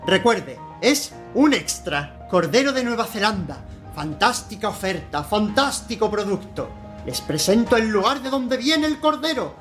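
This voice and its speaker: A man's voice: high-pitched, big pitch swings, measured pace, a bit bright, faint music behind, exaggerated voice. A man performs an unctuous supermarket salesman in a radio drama. He has an accent from Spain and a theatrical, over-polite sales patter, always gushing and eager to impress the customer. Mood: rhapsodic. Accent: Spanish from Spain. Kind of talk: radio play acting.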